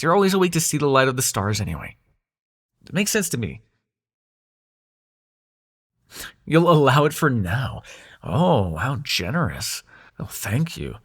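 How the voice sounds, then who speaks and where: Man playing a very expressive, sarcastic young dream god. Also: mid-range voice, breathy edge, heavily acted. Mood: soothing, teasing. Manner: conversational, hesitant, sarcastic, swearing. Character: protective young male dream god, sweary, sarcastic, tender. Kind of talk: intimate audio roleplay acting, addressed to the listener.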